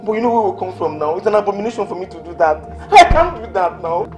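A man's voice: high-pitched, very animated, quick pace, darkish tone. A desperate young man played by a man with a Nigerian accent, stammering as he begs for help. The room sounds echoey, with faint music behind. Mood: distressed. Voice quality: pleading, stammering. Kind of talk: Nollywood drama acting.

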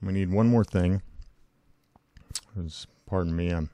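Man, very low voice, hoarse from a cold, dark tone, rambling tutorial talk. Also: a little slow. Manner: casual, rambling, many fillers and self-corrections. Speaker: tutorial host. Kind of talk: screen-recorded software tutorial.

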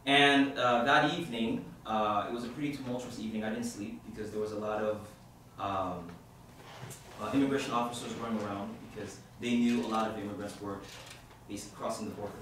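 A man's voice: fairly low pitch, fairly even intonation, fairly bright tone, heavy reverb. Haitian-born youth minister guy, lots of uhs, sounds far away with background noise. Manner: informal, halting storyteller with many fillers and restarts. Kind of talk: opening prayer and talk to a group. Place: hall at a university centre.

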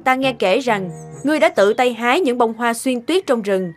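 A high-pitched, imperious queen played by a woman in a cartoon, spoiled and cruel. She orders and threatens with a quick temper, over background music. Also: animated, natural voice. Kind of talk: cartoon villain acting.

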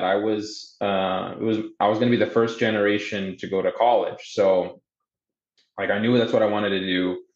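A man in his thirties from Boston, a native Portuguese speaker, telling his story conversationally in a hesitant, word-repeating way over a video call. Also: lowish voice. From Boston, USA, with teenage years in Brazil. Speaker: podcast guest. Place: indoor room on a video call. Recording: muffled, echoey video call.

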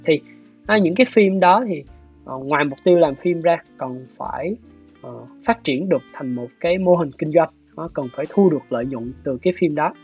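Male interview guest, southern Vietnamese accent, husky and measured, muffled video-call sound with guitar music behind. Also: fairly high pitch, lively intonation. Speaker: guest, animation director. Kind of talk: explanatory interview talk. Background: soft plucked guitar music.

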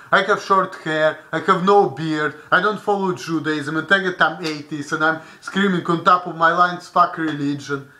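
A young man with a Russian accent rants into his webcam from a small bedroom, heated and swearing. His voice is bright and piercing, pitched a little high for a man. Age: young adult. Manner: heated, profane, gesturing a lot. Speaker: vlogger. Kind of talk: angry webcam rant. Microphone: webcam built-in mic.

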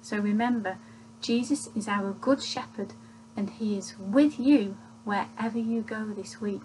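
A woman gives a gentle children's church talk, asking leading questions as she tells the story, recorded on a webcam in a small room at home. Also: mid-range voice, a little slow, slight background noise, rough recording. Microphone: webcam at desk distance.

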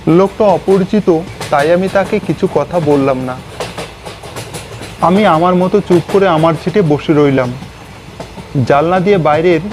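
A man's voice: fairly high pitch, darkish tone, slight background noise, poor audio. A man narrating a scripted ghost story in the first person. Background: background music.

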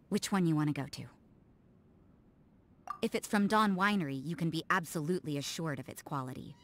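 A woman playing an aloof, cynical, hard-drinking nun in a video game, speaking in a cool, dry, deadpan way. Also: fairly low pitch. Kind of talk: English video game voice acting.

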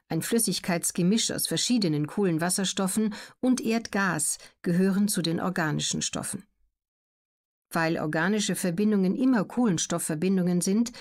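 A woman reads scripted educational narration as an audiobook narrator, measured, factual and clearly articulated. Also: mid-range voice, darkish tone.

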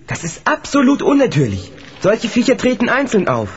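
A young male actor playing an eager, clever boy in a children's radio play, with a husky voice. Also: very high-pitched, wildly swooping pitch, moderate pace, heavily acted. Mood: precocious, indignant. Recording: dull, reverberant old cassette recording.

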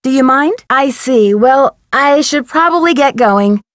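A young woman playing an inquisitive, polite, persistent amateur detective in a video game, with a crisp, questioning delivery. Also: sits high, expressive, unhurried, no room sound, studio quality. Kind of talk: video game dialogue acting.

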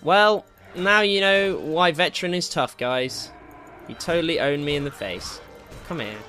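A man giving casual, excitable commentary while playing a video game, jokey and slangy, with exclamations and swearing. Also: a little high, measured pace. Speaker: gaming video host. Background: game music.

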